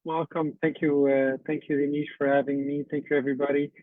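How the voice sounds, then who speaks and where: A man's voice: a little high, flat delivery, dry recording, faint music behind. Man on a tinny phone-like line. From Canada. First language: Portuguese. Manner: searching for words, halting. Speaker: panelist and council member. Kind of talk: panel talk at a conference.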